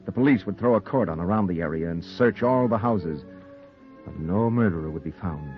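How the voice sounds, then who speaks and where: Low-voiced, driven noir newspaper reporter. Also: male voice, animated, brisk. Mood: grim narration. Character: driven, guilt-ridden male newspaper reporter in a noir thriller. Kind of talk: 1940s radio drama acting and noir narration. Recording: muffled vintage 1940s radio recording, music behind.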